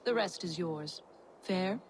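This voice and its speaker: A woman's voice: fairly low pitch, slow, a little hiss or hum. An actress playing a scheming, coolly mocking Norse witch in a video game, dry and aloof in manner. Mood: cool, instructive. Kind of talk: video game cutscene acting.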